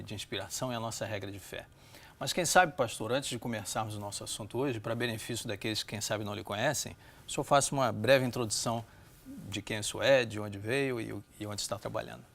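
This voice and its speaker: A man with a Brazilian Portuguese accent hosting a Bible discussion in a measured, didactic way, often seeking agreement. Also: fairly low pitch, vocal fry, fairly bright tone. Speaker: host of a religious programme.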